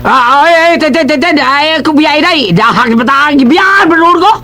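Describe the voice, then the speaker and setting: A man's voice: very high voice, normal speed. An actor playing a cowardly, blustering policeman in a Cebuano radio drama, stammering and repeating himself with a Cebuano accent. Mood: panicky, whining. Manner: stammering, excitable, repeating words in panic. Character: cowardly male policeman, panicky, whining, blustering husband.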